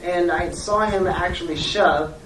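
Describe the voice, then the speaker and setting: A person playing a polite schoolteacher on a call to a parent, speaking in a measured, hesitant and diplomatic way with frequent pauses. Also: mid-range voice, lightly acted. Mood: careful, firm. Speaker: teacher. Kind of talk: role-played phone call demonstration. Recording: echoey room, distant mic, faint noise.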